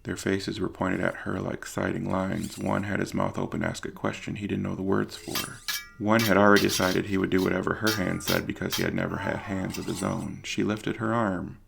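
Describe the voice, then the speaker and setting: A man with a deep, husky, gravelly voice narrating an audio drama in a grave, literary storytelling manner. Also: a little fast, a bit bright, slight background noise.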